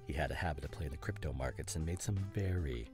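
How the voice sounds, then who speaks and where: A man playing a smug amateur detective, delivering a grandiose, deliberate monologue with dramatic pauses. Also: a bass voice, music in the background. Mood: theatrical, gloating. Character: smug, theatrical male amateur detective unmasking a culprit. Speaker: voice actor in an audio roleplay. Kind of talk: theatrical scripted ASMR roleplay monologue.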